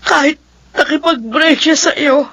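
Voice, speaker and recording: A woman's voice: high voice, measured pace, fairly bright tone, no room sound. A woman playing an older mother, tearful and pleading, in a radio drama. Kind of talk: Tagalog radio drama acting.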